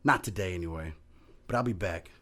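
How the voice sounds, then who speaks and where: An actor playing a taunting Old West gunslinger who bluffs, in a radio western. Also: male voice, deep voice, fairly quick, background noise, lightly acted. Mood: taunting, menacing.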